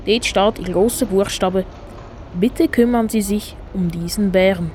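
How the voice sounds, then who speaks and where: A female narrator of a children's radio play, speaking at a medium pitch with a Swiss German accent. She reads scripted storytelling over faint background noise. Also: measured pace, a little mellow.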